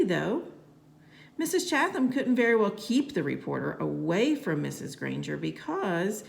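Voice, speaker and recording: A woman reading a children's novel aloud as a narrator, with expressive, deliberate phrasing. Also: mid-range voice, vocal fry. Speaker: storybook reader.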